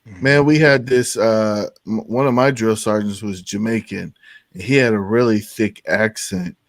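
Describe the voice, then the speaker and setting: A man's voice: on the low side, fairly even intonation, measured pace, no echo. A man hosting a podcast in an informal, agreeable, conversational way, reacting to his guest and swapping stories. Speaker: podcast host, military veteran. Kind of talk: casual chat.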